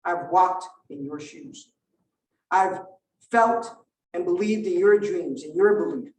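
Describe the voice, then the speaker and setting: A middle-aged man from Vietnam, a native Vietnamese speaker, delivers a stump speech in a notably high voice. He punches out rhetorical lists with deliberate pauses. He is picked up from some distance in a reverberant hall. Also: a little mellow, a little hiss or hum. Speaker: political candidate at a forum. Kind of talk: campaign speech and forum answer. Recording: roomy hall, distant mic.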